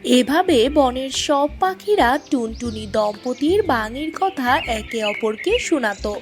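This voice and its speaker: A woman tells a children's story in a high voice with lively pitch movement. Her pace is quick, and soft music plays behind her. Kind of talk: scripted children's story narration.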